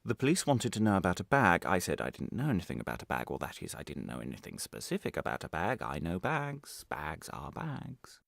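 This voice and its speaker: A man performing a radio monologue as a defensive, wry prisoner recounting his arrest, halting and correcting himself with sudden emphasis. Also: low voice, huge pitch swings, vocal fry, fairly bright tone, no room sound, clean studio sound, full character voice. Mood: uneasy, defensive. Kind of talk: dramatic radio monologue, confessional first-person narration.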